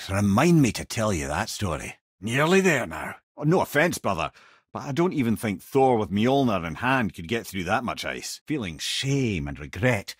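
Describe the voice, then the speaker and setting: An actor playing a witty, learned old advisor in a video game, with a Scottish accent, a raspy voice and a wry, eloquent way of telling tales. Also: male voice, medium pitch, no echo, clean studio sound. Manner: wry, eloquent, colloquial raconteur. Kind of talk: video game character dialogue and storytelling.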